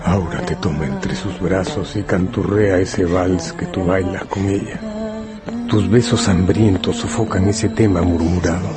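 The male narrator of a radio novel speaks in a grave, hushed way over clear music. He is deliberate and intimate, as if telling the listener what he himself is doing. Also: deep voice, steady tone. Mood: grave, sensual.

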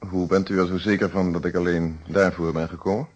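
An actor in a Dutch radio play playing a courteous, methodical police inspector with formal, deliberate questioning. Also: male voice, sits low, flat delivery, darkish tone, husky. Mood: courteous, probing. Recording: dull, band-limited old radio recording.

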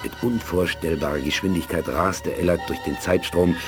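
A deep-voiced actor as a male storyteller narrates a 1980s science fiction radio play. His delivery is solemn, with music clearly playing beneath him. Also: steady tone, moderate pace, lightly acted. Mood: solemn, suspenseful. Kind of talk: 1980s German audio drama narration. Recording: music under the voice.

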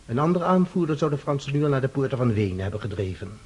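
A cold, imperious tsar. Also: male voice, medium pitch, big pitch swings, quick pace, breathy edge. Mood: reproachful. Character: stern, reproachful young Russian tsar. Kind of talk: radio play acting.

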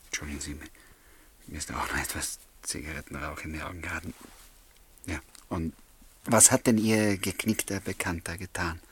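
Low, husky lovelorn bachelor in a radio play. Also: male voice, fairly even intonation, normal speed. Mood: guarded, smitten. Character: lovelorn bachelor, wistful and discreetly smitten. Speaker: actor in a radio drama. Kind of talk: German radio play dialogue and narration.

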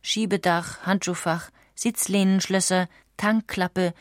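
Low-pitched woman narrating a documentary. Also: steady tone, slow, no echo, clean studio sound. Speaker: audiobook narrator.

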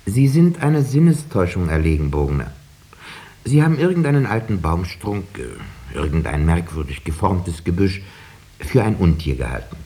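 Deep, mellow, didactic schoolteacher. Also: male voice, sing-song. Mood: firm, reasoning. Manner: measured, didactic. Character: rational, measured male village schoolteacher who also narrates. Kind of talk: 1950s German radio drama narration and dialogue.

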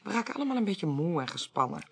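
An actress playing a calm, level-headed clerk to a chamber president in a Dutch radio drama. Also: female voice, on the low side, fast, vocal fry, slightly rough, exaggerated voice. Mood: calm, reassuring. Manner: composed, businesslike, reassuring.

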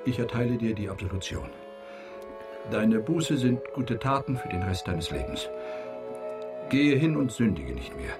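A solemn, stern confessor priest in a radio play. The voice is deep yet moves expressively, formal and liturgical, with music clearly behind it. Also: male voice, big pitch swings, measured pace, pauses often. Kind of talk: radio drama acting.

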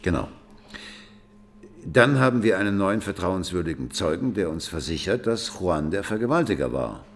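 A man's voice: deep voice, big pitch swings, moderate pace. An actor playing a pensive, doubting police detective who reasons skeptically with his partner in a crime radio play. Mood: skeptical reasoning. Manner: ruminative, skeptical.

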